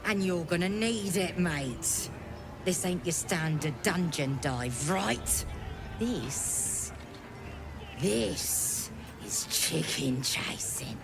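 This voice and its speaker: A gruff goblin recruiter with a low, gravelly voice and slangy speech. She talks slowly, with lively swings in pitch. Music plays behind her. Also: heavily acted.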